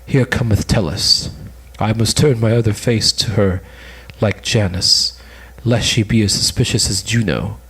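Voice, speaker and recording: A man's voice: on the low side, fairly even intonation, unhurried, few pauses, a bit bright, breathy edge, exaggerated voice, poor audio. A man playing a lovesick, melancholy suitor, earnestly declaiming in an amateur cold reading of an old stage play. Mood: pleading, earnest.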